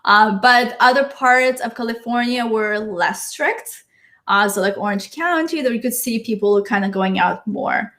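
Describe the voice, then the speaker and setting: A woman's voice: on the high side, unhurried, fairly bright tone. A woman in her thirties from Ukraine, a marketing guest on a podcast who chats casually and laughs often. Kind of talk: casual interview chat.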